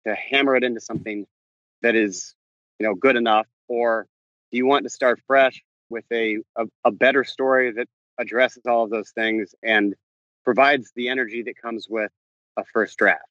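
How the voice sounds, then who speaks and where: A man's voice: medium pitch, fairly even intonation, fairly bright tone, no echo, lo-fi sound. A man answering interview questions as a novelist, often stopping and restarting his sentences as he paces around outdoors. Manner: halting, frequent restarts and self-corrections. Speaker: novelist guest. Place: walking around outdoors on a campus.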